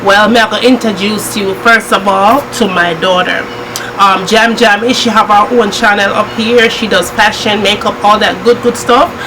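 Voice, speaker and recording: A middle-aged Jamaican woman chatting easily on her home vlog, patois slipping in and a laugh never far off. Her voice sits at an ordinary pitch for a woman. Steady background noise hums under the talk. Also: normal speed. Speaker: cooking channel host. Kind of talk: casual chat.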